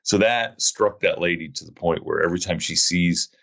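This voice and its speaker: A man with a very low voice hosts a casual podcast chat. He tells long, meandering stories and often makes fun of himself. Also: normal speed, gravelly, a bit bright. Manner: rambling storyteller, self-mocking. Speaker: podcast host.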